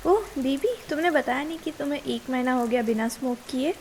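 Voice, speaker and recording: A woman's voice: high voice, a little fast, darkish tone. A young woman with an Indian accent playing a caring but easily exasperated, nagging girlfriend in a casual ASMR roleplay, mixing two languages. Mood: gentle, caring. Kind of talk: ASMR girlfriend roleplay, Hindi mixed with English. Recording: hiss and rain sound behind.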